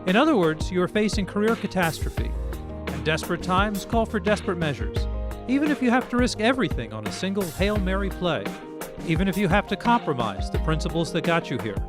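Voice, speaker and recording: A man's voice: high-pitched, sing-song, a little fast, music in the background. A man narrating a scripted video essay as its host, reading in the style of political media commentary.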